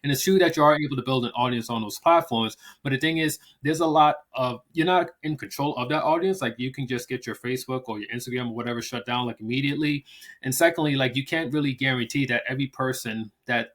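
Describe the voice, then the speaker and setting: A man's voice: mid-range voice, fast talker, fairly bright tone. A man hosting his channel, explaining in a loose, rambling way with lots of fillers and restarts. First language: English. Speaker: channel host teaching language promotion. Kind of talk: casual talk-to-camera explainer.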